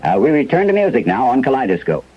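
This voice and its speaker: An actor playing a calm, businesslike radio newsroom anchor in a 1970s mock-newscast drama, reading hesitantly with pauses. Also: male voice, medium pitch, faint music behind. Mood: measured, serious. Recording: dull, lo-fi old radio broadcast recording.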